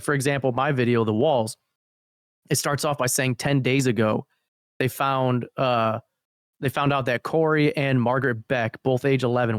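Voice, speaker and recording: Male podcast co-host, average pitch, casual blunt banter with swearing, very dry recording. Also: dry recording. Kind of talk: casual joking chat about horror videos.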